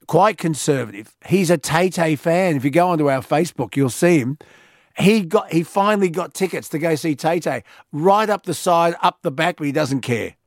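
A middle-aged man hosting a talk radio show, gruff and teasing. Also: on the high side, lively intonation, normal speed, no echo, studio quality. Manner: gruff, teasing, self-mocking. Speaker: radio host.

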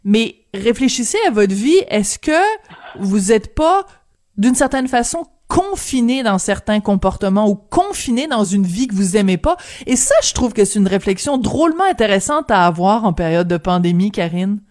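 A woman's voice: medium pitch, expressive, normal speed, a little mellow. A woman with a Quebec French accent interviewing a guest, enthusiastic and affirming. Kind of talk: friendly interview.

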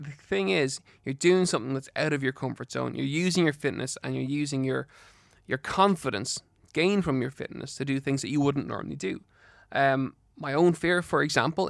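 A man's voice: mid-range voice, brisk, gravelly, no echo, clean studio sound. An Irish man with a slight lisp hosting a health podcast solo, giving advice in an earnest, encouraging, instructive way. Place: studio.

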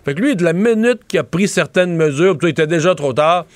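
A man's voice: a little high, expressive, fairly quick, no room sound. A middle-aged man with a Québécois French accent, giving rambling political analysis in a casual chat and often restarting his sentences. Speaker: political commentator.

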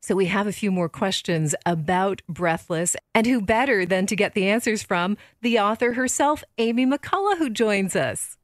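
A woman with a medium-pitched voice hosts a casual interview. She phrases her questions playfully and articulately, and the sound is very dry. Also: expressive, normal speed, hardly any pauses, no echo. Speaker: podcast host asking questions.

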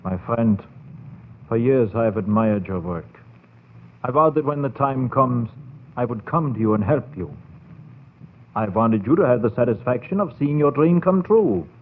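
A man's voice: mid-range voice, animated, moderate pace, long pauses, phone-quality sound, lightly acted. Man acting a scheming scientist on noisy old radio. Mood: smooth, persuasive. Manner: formal, persuasive, theatrical. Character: scheming, insistent male scientist conspirator. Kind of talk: 1940s radio horror acting.

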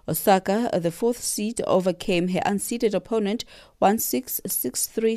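A woman's voice: fairly low pitch, mellow, dry recording, studio quality. A woman who reads the news and hosts a radio interview in an upbeat presenting manner. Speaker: radio host and newsreader.

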